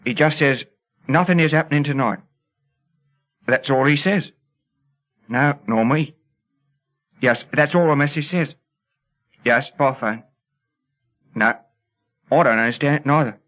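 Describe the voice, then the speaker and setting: A man's voice: mid-range voice, measured pace, long pauses, fairly bright tone, close to an everyday voice. A man playing a plucky, cheeky Cockney in a radio serial, chirpy and full of slangy exclamations, unimpressed by threats. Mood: guarded. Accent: English Cockney. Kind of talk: vintage radio serial acting. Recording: dull, band-limited old radio recording.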